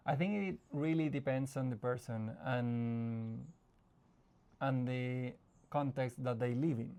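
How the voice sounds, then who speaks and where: Gravelly-voiced Argentine man, thoughtful. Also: medium pitch, steady tone, takes it slowly, pauses often, a little mellow. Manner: thoughtful, searching for words, frequent restarts, laughs easily. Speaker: psychologist guest. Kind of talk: casual conversational interview.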